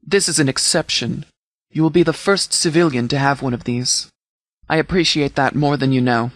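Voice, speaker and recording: A man voicing a stern military commander in a video game, speaking formally and with measured authority as he briefs. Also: on the high side, a bit bright, dry recording. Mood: solemn, appreciative. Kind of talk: scripted game dialogue, mission briefing.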